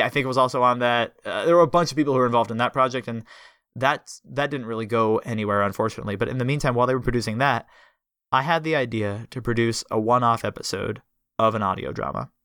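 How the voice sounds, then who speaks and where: A man's voice: mid-range voice, a little fast, sharp tone, clean tone, no echo, studio quality. A young man hosting a podcast, chatting casually with enthusiasm and a rambling flow. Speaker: podcast host.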